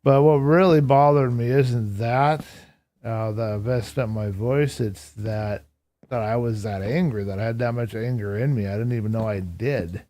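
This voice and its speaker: A hoarse man chatting casually with viewers on a live stream while playing a game, answering chat aloud in a relaxed, reflective way. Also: mid-range voice, moderate pace.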